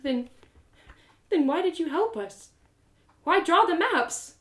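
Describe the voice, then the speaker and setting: Lady doing a upset young adventurer girl, high and emotional, pleading, audio drama style, echoey room. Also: animated, slow pace, exaggerated voice. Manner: emotional, pleading, swinging to loud wails.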